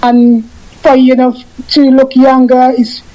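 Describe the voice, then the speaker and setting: A woman in her fifties, a guest on a radio interview, talking in a halting way, often repeating and restarting her phrases. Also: high voice, completely flat delivery, dark tone, lo-fi sound. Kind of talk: casual radio interview chat.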